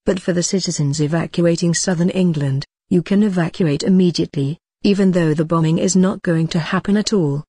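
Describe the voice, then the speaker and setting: A woman voicing a formal emergency broadcast announcer, reading a scripted alert in a grave, measured, deliberate way. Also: fairly low pitch, dark tone, slightly rough, no room sound, studio quality.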